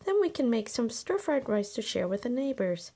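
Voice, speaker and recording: Storybook mother, read by a woman: practical, worried, exasperated, high-pitched. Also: very animated, normal speed, dark tone.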